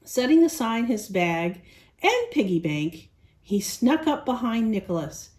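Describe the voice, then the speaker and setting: Female children's storyteller, fairly high voice, very lively intonation, picture-book read-aloud. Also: measured pace.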